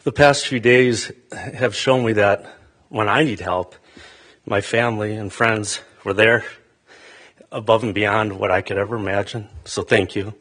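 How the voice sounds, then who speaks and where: Son giving a halting eulogy. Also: male voice, on the low side, steady tone, a bit bright. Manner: halting, with many fillers and restarts. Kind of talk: funeral eulogy. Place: funeral chapel.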